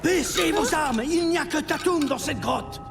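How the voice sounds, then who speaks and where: A performer voicing a grave, commanding villager who gives cautions in a French animated series. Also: sits high, moderate pace, creaky, loud music behind the voice. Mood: serious.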